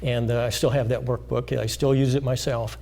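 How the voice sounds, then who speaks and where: Man, interview guest, mellow dark voice, measured explaining, frequent fillers, school studio. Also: medium pitch, level tone, creaky. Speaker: financial planner guest. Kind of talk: interview answers.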